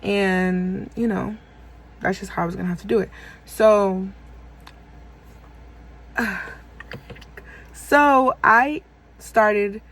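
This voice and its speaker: A woman's voice: medium pitch, very slow, pauses often, a bit bright. A woman in her twenties telling a personal story on her vlog in a rambling, casual way, full of fillers and self-corrections. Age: 20s. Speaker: vlogger.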